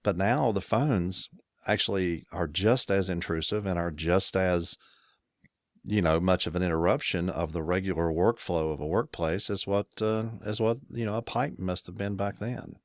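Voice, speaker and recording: A man's voice: low-pitched, moderate pace, no room sound, clean studio sound. A middle-aged American man co-hosting a casual podcast, telling rambling workplace stories with chuckles and self-corrections. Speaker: podcast co-host. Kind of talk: casual chat.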